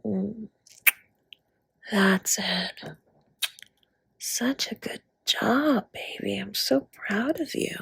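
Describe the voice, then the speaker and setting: A woman plays a doting dragon spouse who bakes and flirts in an intimate audio roleplay. The voice is husky and unhurried, teasing and drawn-out, often sinking into a whisper. It is recorded close and dry. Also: mid-range voice, a bit bright, no echo, heavily acted, low-quality recording. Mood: flirty, tender. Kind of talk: intimate romantic audio roleplay addressed to listener.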